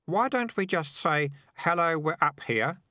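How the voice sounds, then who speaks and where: A man's voice: on the high side, very animated, unhurried, bright, forceful tone, no room sound, clean studio sound, voice pushed up, heavily acted. A man voicing an innocent, plain-spoken boy in a storybook, mild and matter-of-fact.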